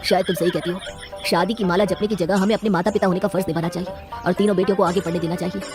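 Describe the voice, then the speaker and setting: A woman's voice: lowish voice, steady tone, very fast, music in the background, natural voice. A woman voicing a principled middle-aged father in a cartoon story, earnest and firm as he protests. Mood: earnest, reasoning. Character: devoted, principled middle-aged father defending his daughters.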